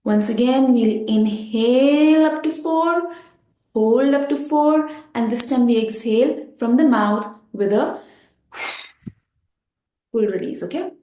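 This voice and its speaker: Woman, meditation guide, very slow delivery, soft mellow tone, short phrases with pauses, echoey room. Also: fairly high pitch. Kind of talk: guided meditation, gently instructing.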